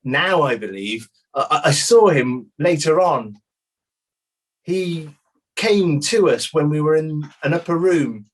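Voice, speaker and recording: Guy acting as a biblical disciple on the witness stand, fairly high voice, halting and earnest, repeats himself. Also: animated. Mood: earnest, convinced. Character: earnest convinced disciple of Jesus giving witness testimony. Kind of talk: dramatized courtroom testimony.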